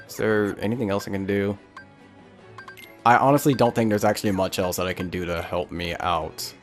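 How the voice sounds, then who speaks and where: A man hosting a let's play, giving casual commentary and thinking aloud as he goes. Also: fairly low pitch. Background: faint game music.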